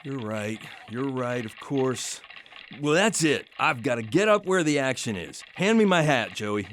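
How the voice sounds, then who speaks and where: An actor with an American accent playing an earnest, restless telegraph operator in a radio drama. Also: male voice, mid-range voice, lively intonation, a little slow, a bit bright, slight background noise. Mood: agitated, determined.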